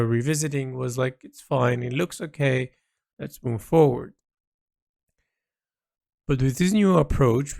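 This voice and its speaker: Guy doing an artist audio log, creaky voice, really slow, thinks as he goes and stops mid sentence. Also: mid-range voice. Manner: halting and reflective, pausing mid-phrase. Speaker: artist narrating own project log. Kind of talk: informal audio log commentary on artwork.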